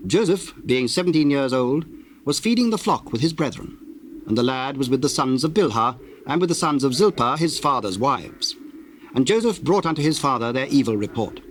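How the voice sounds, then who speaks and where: Solemn guy narrating the bible, mid pitch, measured and formal, faint tape noise. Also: lively intonation. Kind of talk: formal scripted scripture narration.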